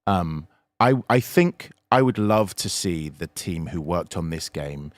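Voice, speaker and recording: Deep-voiced man, cynical and emphatic. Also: lively intonation, a little slow, no echo. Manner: emphatic, repeats words for stress. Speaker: podcast panelist. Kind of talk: opinionated unscripted discussion.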